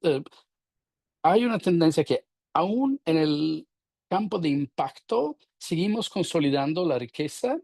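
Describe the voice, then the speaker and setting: A middle-aged Italian man with an Italian accent, giving a webinar talk as a professor, speaking slowly with many fillers while he searches for words. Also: on the high side, expressive, vocal fry, a little mellow, no echo, studio quality. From Italy. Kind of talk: webinar presentation.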